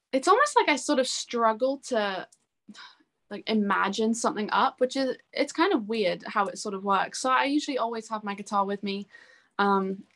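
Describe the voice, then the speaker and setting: A woman's voice: a little high, fairly bright tone. A young woman chatting casually and enthusiastically as a musician being interviewed. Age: young adult.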